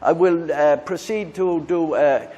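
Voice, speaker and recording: A man with an Irish accent, hosting a casual product review on a lavalier mic, talking in a halting way with many fillers and restarts. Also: a little high, warm tone, lo-fi sound. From Ireland. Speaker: tech reviewer, channel host. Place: indoors in a room with a fan, then outdoors by a busy road.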